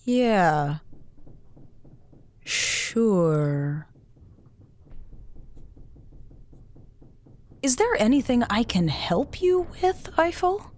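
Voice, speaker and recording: A woman playing a suspicious, stern spaceship commanding officer in an audio drama, with a deadpan, sardonic delivery. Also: fairly high pitch, drawn-out words, full character voice. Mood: wary.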